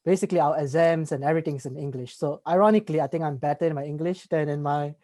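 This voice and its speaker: A man's voice: a little high, quick pace, dark tone. A young man from Singapore with a Singaporean accent, a student guest talking easily in a casual interview and filling his speech with "sort of" and "like.". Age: young adult. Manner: easygoing, fills with "sort of" and "like".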